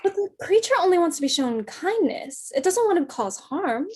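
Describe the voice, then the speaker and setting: Woman acting very high, excitable young Claire. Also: moderate pace. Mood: anxious, earnest. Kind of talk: staged reading of a period drama.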